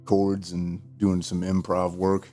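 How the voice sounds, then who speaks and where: Low-voiced tired man, guitar behind. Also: completely flat delivery, takes it slowly. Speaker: co-host playing guitar on a live stream. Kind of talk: casual chat.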